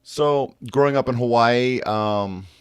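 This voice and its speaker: A man with a mid-pitched voice, acting as a podcast co-host. He puts his questions casually and conversationally. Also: slow, clean tone. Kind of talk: casual interviewing.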